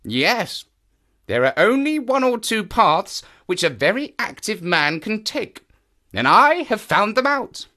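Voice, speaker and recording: An enthusiastic male naturalist guides a visitor across the moor, as a man acts him out in an audio drama. His voice leaps about wildly in pitch and carries a bright, forward edge. He explains with keen, knowing animation. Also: sing-song, slow, full character voice. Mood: explaining. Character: eager male naturalist of the moors, lively and knowing.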